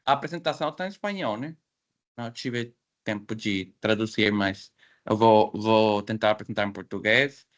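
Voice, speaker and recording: A man with a Mexican Spanish accent, a native Spanish speaker, giving an academic seminar in a second language over a video call and pausing often to search for words. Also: lowish voice. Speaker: guest speaker.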